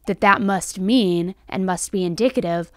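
Woman, American, thirties, medium pitch, true crime commentary, emphatic, conversational, very dry studio sound. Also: normal speed, no echo. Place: small indoor studio set. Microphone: close podcast microphone on stand.

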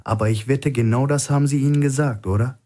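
A man's voice: medium pitch, steady tone, fast, dark tone, pitched above the performer's own voice, lightly acted. Shrewd male hostage for thriller audiobook, played by a male narrator: raised voice, insistent, needling. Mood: pressing, provoking. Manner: insistent, reasoning, provoking. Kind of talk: audiobook character acting.

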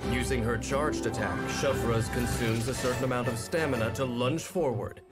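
A man giving scripted narration for a game showcase in a measured, polished announcer manner. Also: medium pitch, fairly even intonation. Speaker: trailer narrator. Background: music.

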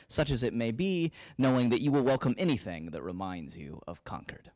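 An earnest, wry nineteenth-century man writing to a friend, read by a young man on stage. His delivery is theatrical and deliberate, with big swings in pitch, heard close and dry on a handheld mic. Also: dry recording, natural voice. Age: young adult. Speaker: dramatic reader. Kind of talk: dramatic reading of a 19th-century letter. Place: small library meeting room with audience. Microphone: handheld close to mouth.

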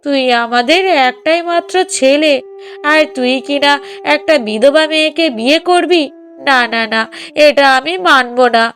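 Woman acting high-pitched middle-aged mother, anxious, stubborn, pleading, repetitive, family cartoon, light music behind. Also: measured pace, a bit bright. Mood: shocked, refusing. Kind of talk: Bengali moral-story cartoon dubbing.

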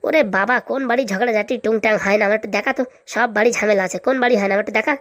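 A woman's voice: mid-range voice, rapid-fire, a bit bright, dry recording, lightly acted. A woman voicing a blustery, cheeky village man in a comic cartoon, blunt and colloquial. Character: blustery, cheeky village elder-brother figure.

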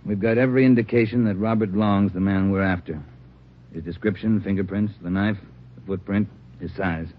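An actor playing an authoritative American police chief in an old radio drama, talking in clipped phrases on a muffled recording. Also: male voice, on the low side, steady tone, a little fast, slight background noise, close to an everyday voice. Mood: grim, authoritative. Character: gruff, commanding male police chief. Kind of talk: 1950s radio drama acting.

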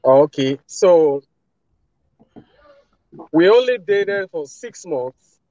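A Nigerian man in his thirties talking casually as a guest on a live video call, relaxed, with many "you know" fillers. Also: a little high, very slow, no room sound, highs cut off. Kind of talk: casual testimonial. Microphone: phone video call.

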